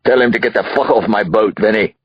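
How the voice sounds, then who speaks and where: An actor playing a rich, drunken, angry father who yells and sneers profanely in an audiobook drama. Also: lowish voice, fast, husky, lo-fi sound. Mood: angry, contemptuous. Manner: yelling, sneering.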